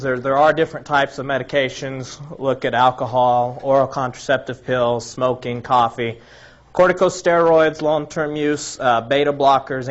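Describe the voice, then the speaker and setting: A man lecturing to a room in a slightly raspy, mid-pitched voice, working through his points one item at a time with frequent restarts. The recording is dull and lo-fi. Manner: explanatory, lists items one by one, frequent restarts. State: slightly raspy from a recent cold. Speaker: health presenter. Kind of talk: informal lecture to a live audience.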